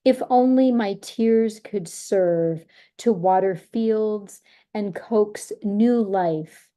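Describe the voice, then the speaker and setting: A woman reading a translated poem in a measured, solemn way, then thanking listeners. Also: medium pitch, mellow. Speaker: translator and reader. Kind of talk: slow poetry reading.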